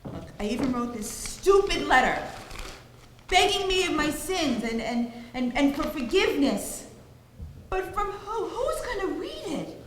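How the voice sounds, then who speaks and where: An actress playing an anguished, despairing wife in a live stage drama, with a melodramatic, overwrought delivery. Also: female voice, high voice, big pitch swings, unhurried. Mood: despairing, frightened. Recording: echoey live theater, faint noise.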